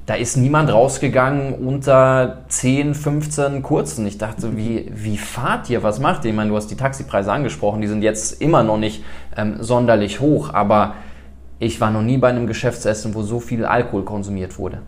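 A man's voice: mid-range voice, normal speed. A man hosting a podcast, asking long conversational questions and sharing his own experiences with fillers. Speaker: podcast host. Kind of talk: interview questions, casual chat.

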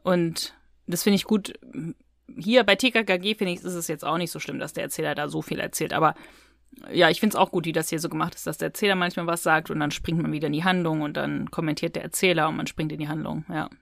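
A woman's voice: deep voice, fairly even intonation, quick pace, vocal fry, fairly bright tone, dry recording, clean studio sound. A woman co-hosting a podcast, giving frank opinions in casual, colloquial chat about audio dramas. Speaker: podcast co-host.

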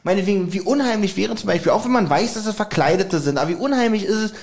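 A teasing man with a fairly high voice co-hosting a casual podcast. He cuts in often and talks in a loose, joking way. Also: expressive, fast talker. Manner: teasing, interjects and refers to his notes. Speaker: podcast co-host. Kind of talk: casual chat.

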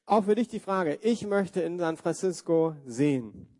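A man's voice: high-pitched, animated, measured pace, very noisy. A man hosting a church interview, asking questions in an upbeat, encouraging way. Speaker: host.

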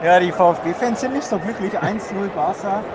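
A high-voiced man chats about football in a casual, excitable, joking way as a podcast co-host. He is recorded outdoors in a stadium, with very loud noise surrounding his voice. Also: normal speed, over a noisy crowd. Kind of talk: casual live match chat. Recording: very noisy stadium background.

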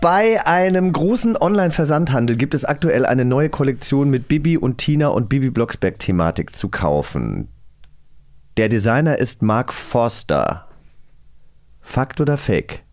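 A man's voice: medium pitch, sing-song, a little slow, darkish tone. A German man co-hosting a podcast in a casual, playful quiz style. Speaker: podcast co-host.

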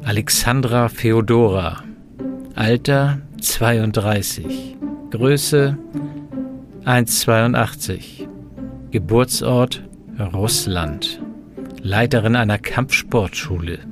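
A man plays a level-headed professor in a science-fiction audio drama. He speaks slowly and drily in a mid-pitched male voice, with music playing underneath. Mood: focused, dry. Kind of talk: German audio drama acting.

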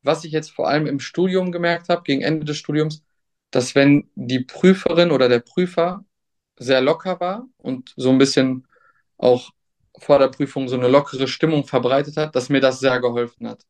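A young man speaks in an unhurried, thoughtful way, often pausing and restarting as he works out what he means. His voice sits at an ordinary middle pitch for a man, casual and open in an interview setting. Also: steady tone, a little mellow. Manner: hesitant, many fillers and restarts, thoughtful. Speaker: substitute teacher, guest.

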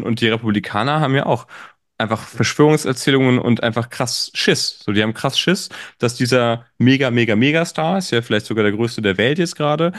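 A man in his late thirties hosts a podcast conversation, talking in a loose, rambling way with plenty of fillers and the odd joke. His pitch sits in a middle range. The recording is dry and close, with no room sound. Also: no echo. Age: 30s. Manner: rambling, joking, many fillers. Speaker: podcast host. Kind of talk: casual conversational interview.

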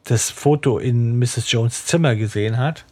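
A man's voice: mid-range voice, unhurried, darkish tone, breathy edge. A man co-hosting a fan podcast who talks hesitantly in casual chat, with many fillers and frequent laughs. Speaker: podcast co-host. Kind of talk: casual chat discussing a radio play.

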